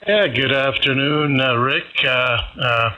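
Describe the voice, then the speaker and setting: A man's voice: mid-range voice, slow, bright, forceful tone. A man chatting casually as a ham radio operator over the air, hesitant and thinking aloud as he goes. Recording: dull, band-limited radio audio.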